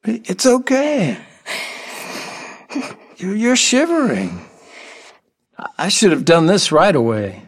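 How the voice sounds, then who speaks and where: A man acting in an audio drama as an odd, playful, lonely middle-aged workman, whimsical and halting, with earnest oddball candor. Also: high voice, very animated, slightly rough, heavily acted. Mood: soothing.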